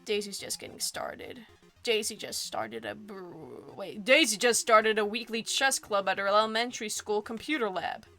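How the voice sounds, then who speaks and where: A woman's voice: medium pitch, animated, moderate pace, pushed and bright, faint music behind. A woman voicing a friendly, boastful middle-aged dad in a dating game, cheerful and proud.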